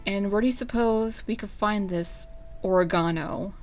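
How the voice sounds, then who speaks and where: A woman voicing a stern, formal male wolf warrior, the eldest brother, in a measured and commanding manner. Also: mid-range voice, soft background music, natural voice. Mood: businesslike.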